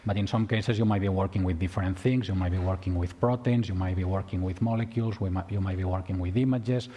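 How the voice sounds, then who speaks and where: A man's voice: low voice, steady tone, fast talker, dark tone, husky. Spanish-accented man in an echoing hall. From Spain. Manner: hesitant, many restarts and repeated words. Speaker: tutorial presenter. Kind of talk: conference tutorial. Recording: long hall reverb.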